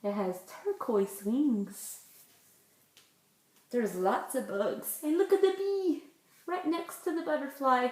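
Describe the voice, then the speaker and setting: A woman's voice: high voice, slow, long pauses, a little mellow. A woman, a preschool teacher leading circle time and reading a picture book, asking simple questions and explaining words for small children. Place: indoors in a room at home. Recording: roomy, echoey home recording.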